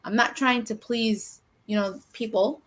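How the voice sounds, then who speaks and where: A woman's voice: fairly high pitch, fairly even intonation, a little slow, fairly bright tone. A woman from Michigan co-hosting a podcast, chatting casually and telling stories with emphasis. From Michigan, United States.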